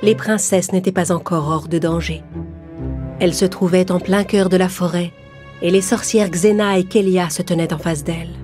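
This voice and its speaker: A woman's voice: on the low side, fairly even intonation, moderate pace. A woman narrator reading a scripted fairy tale for children. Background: background music.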